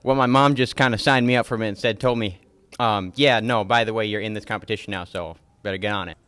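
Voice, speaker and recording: A man's voice: fairly low pitch, fairly even intonation, fast, a bit bright, smooth. A teenage boy being interviewed as a student entrepreneur, explaining things in an easygoing way. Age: teens.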